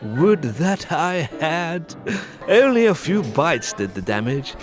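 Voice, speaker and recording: Frail fussy suitor, wildly swooping voice. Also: male voice, on the high side, sing-song, slow, over music. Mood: fussy self-pity. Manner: fussy, genteel, self-pitying. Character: frail, fussy, stooped thin hypochondriac suitor, Sir Charles. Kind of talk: farcical audio drama acting.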